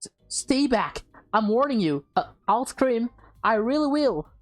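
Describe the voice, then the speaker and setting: A woman's voice: on the high side, very animated, unhurried, voice pushed up. Woman acting startled, suspicious woman, game dialogue. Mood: frightened warning. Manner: alarmed, questioning, stammering. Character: startled, suspicious adult woman in a swapped body. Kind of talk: visual novel read aloud in character. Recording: game music behind the voice.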